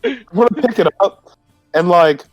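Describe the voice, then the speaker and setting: A man's voice: high voice, lively intonation, moderate pace, no room sound, lo-fi sound, faint music behind. A man playing a tabletop roleplaying game, casually describing what his character does in informal, sweary talk.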